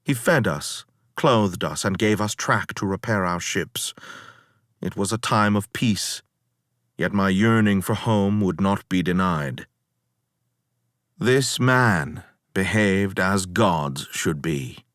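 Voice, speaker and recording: A man's voice: low-pitched, a bit bright, no echo, studio quality. A man performing a weary, proud ancient Greek hero who recounts his sea voyages as theatrical dramatic poetry, in a grave, declamatory manner. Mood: wistful, awed. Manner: grave, declamatory, deliberate storytelling with dramatic swells.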